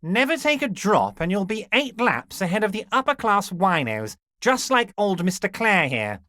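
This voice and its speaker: A man's voice: sits high, sing-song, normal speed, bright, forceful tone, dry recording, clean studio sound, exaggerated voice. A male actor playing a smug, wily, older union boss in a video game, chummy, sly and full of mock innocence. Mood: earnest, scheming. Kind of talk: video game dialogue acting.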